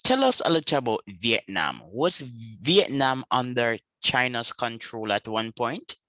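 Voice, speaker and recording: A male host speaks in a bright, forward voice as he runs an interview. His pitch sits in the middle, and the sound is very dry and close, free of room echo. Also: sing-song, measured pace. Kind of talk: interview questions.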